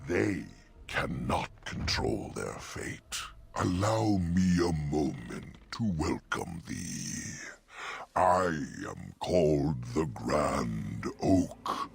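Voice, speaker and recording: An actor playing an ancient, courteous talking oak in a fantasy game, speaking archaic rhymed verse in a grandiose, gravelly voice. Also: male voice, rumbling low, very animated, very slow, full character voice. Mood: apologetic, courteous.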